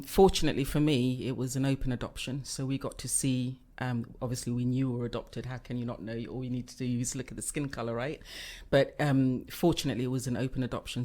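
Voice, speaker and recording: A woman's voice: a bass voice, a little mellow. A woman from East Africa, raised in the UK since age eleven, chatting openly as a podcast guest with frequent fillers. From born in Uganda, raised in Kenya and Tanzania, UK since age eleven. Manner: chatty and open, laughs easily, many fillers. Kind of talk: conversational podcast interview.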